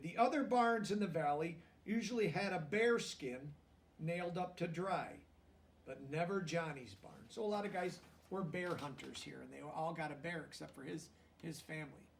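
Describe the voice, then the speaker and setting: A man with a notably high voice reads a storybook to children. He moves between narration and simple explanatory asides, checking that listeners follow. The voice sits some distance from the microphone. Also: gravelly. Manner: pauses to explain words and check understanding in plain language. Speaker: storybook reader teaching children.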